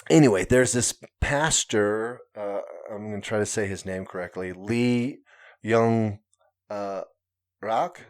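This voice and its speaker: A low-voiced man co-hosting a podcast, talking through a long story in a casual, unhurried way. He often pauses to hunt for the right word and laughs lightly at himself along the way. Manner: storytelling at length, searching for words, chuckling now and then. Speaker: podcast co-host.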